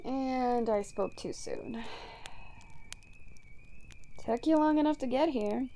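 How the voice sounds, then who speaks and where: A sardonic female supervillain, acted by a woman in an audio roleplay, with a fairly high voice that stays soft and mellow. She taunts a hero in a teasing drawl, smug and casually foul-mouthed. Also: slow, slight background noise. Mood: smug, amused. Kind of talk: ASMR roleplay audio drama monologue.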